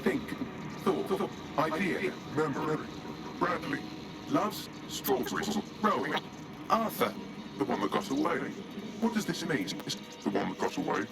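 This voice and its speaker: A man's voice: on the high side, very animated, unhurried, gravelly, heavily acted, poor audio. A man playing an articulate, superior AI assistant in a video game, speaking in precise, formal, machine-like phrases. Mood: faltering, wistful. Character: male AI assistant, articulate, superior, glitching, wistful.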